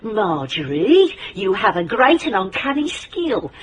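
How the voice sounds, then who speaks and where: A woman's voice: mid-range voice, very animated, unhurried, breathy edge. An actress playing a touchy, bitter, sarcastic woman with an emphatic delivery in a radio drama. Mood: furious, vengeful. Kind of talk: theatrical radio drama acting.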